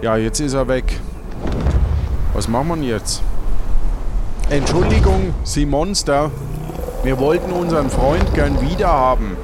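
A man's voice: fairly high pitch, expressive, unhurried, pauses often, background noise. A man playing and narrating in a casual improvised adventure audio play, thinking aloud and repeating himself.

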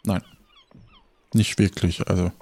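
A man's voice: sits low, level tone, slow, vocal fry, a little mellow, husky, no room sound. A man improvising tabletop game narration as game master, searching for his words as he describes scenes.

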